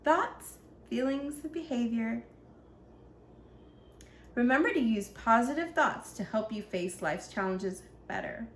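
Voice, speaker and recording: An earnest young ninja from a picture book , read by a woman, teaches children in simple words. The voice sits fairly high, in an echoey room with faint noise behind it. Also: animated, slow pace. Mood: earnest, instructive.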